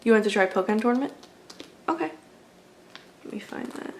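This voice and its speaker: A woman's voice: a little high, animated, fairly quick, slight background noise, rough recording. A woman doing an ASMR roleplay as a friendly, accommodating video game store clerk, chatting in a casual, polite and apologetic way. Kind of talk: ASMR roleplay, casual customer-service chat.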